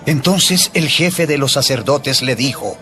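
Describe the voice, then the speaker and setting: A male narrator reads a scripted Bible drama with a storyteller's delivery. His medium-pitched voice moves with lively inflection over prominent background music. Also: unhurried.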